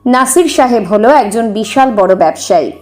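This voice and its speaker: A woman's voice: on the high side, lively intonation, normal speed, darkish tone, soft background music. A woman giving scripted narration for a dramatised audio story.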